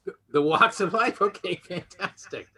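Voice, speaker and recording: Male game show host, high and bright voice, chatty, casual, rephrasing as he goes. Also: normal speed, breathy edge.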